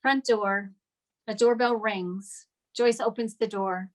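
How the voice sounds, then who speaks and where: A woman's voice: mid-range voice, fairly even intonation, slow pace. A woman giving scripted narration, reading out stage directions at a table read.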